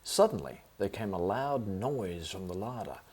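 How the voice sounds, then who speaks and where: A man's voice: on the low side, animated, moderate pace, darkish tone, slightly rough. A man reading a children's story aloud as the narrator.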